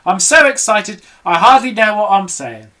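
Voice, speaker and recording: A reader voicing an excitable, bossy monkey father who yells orders in a high, bright voice. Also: a little slow, exaggerated voice. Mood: frantic. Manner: shouting orders, frantic. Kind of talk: storybook read-aloud.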